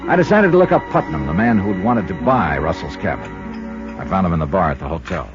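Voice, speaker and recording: An actor playing a dry, world-weary insurance investigator in 1950s radio detective style, clipped and deadpan, with an American accent. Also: male voice, low voice, brisk. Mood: brooding, matter-of-fact. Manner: clipped, hard-boiled, deadpan. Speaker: lead actor and narrator of a detective series. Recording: muffled 1950s radio recording, music behind.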